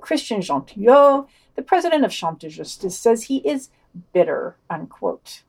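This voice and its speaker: A woman's voice: a little high, sing-song, moderate pace, darkish tone. Dry-witted woman podcast co-host. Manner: wry, dry asides. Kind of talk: reading and commenting on news.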